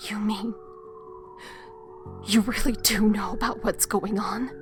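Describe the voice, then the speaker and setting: A woman playing a guilt-ridden, defiant young woman in an audio drama, wounded, halting and often breathy. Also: mid-range voice, fairly even intonation, lightly acted. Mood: hurt, frustrated. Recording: music behind the voice.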